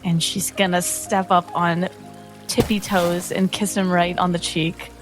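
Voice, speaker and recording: Lower-voiced woman storytelling over music. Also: little pitch movement, unhurried, low-quality recording. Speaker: tabletop game player. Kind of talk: in-character fantasy storytelling. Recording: music under the voice.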